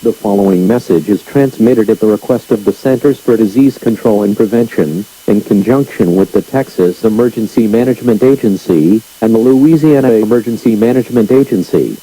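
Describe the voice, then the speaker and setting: A man's synthetic-sounding voice as an emergency alert announcer, reading stiffly and impersonally, muffled and husky. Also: lowish voice, fairly quick, few pauses, warm tone. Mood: impersonal, grave. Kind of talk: scripted emergency broadcast reading.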